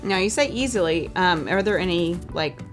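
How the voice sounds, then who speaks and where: A woman's voice: fairly low pitch, lively intonation, normal speed. A chatty woman in her thirties leads a casual review from a table in an indoor studio. She sounds upbeat and keeps the talk moving with follow-up questions. Faint music plays behind her. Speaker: board game channel host. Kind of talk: casual game review chat. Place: indoor studio with game shelves.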